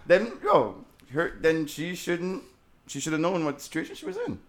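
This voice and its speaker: A man's voice: on the high side, wildly swooping pitch, moderate pace, crowd noise. A man co-hosting a casual podcast, joking and blunt with slangy talk. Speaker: podcast co-host.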